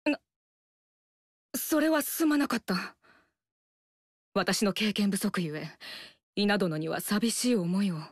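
A woman's voice: mid-range voice, expressive, slow pace, long pauses, dry recording, clean studio sound. An actress voicing a stern, earnest young woman warrior in a video game, speaking in a formal, stiff manner. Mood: flustered earnest. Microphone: studio mic, dry.

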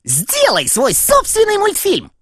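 A woman voicing a small, excitable male cartoon rabbit who cheerfully explains things to children in an expressive, theatrical way. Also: extremely high pitch, measured pace, background noise, heavily acted. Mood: playful, indignant.

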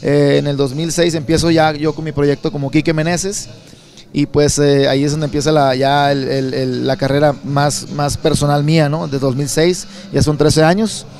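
A Mexican man in his thirties from Sinaloa, answering interview questions at an indoor expo booth into a handheld mic, relaxed and rambling with many repeated words. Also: a little high, moderate pace. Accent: Sinaloan Mexican Spanish. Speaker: songwriter and singer.

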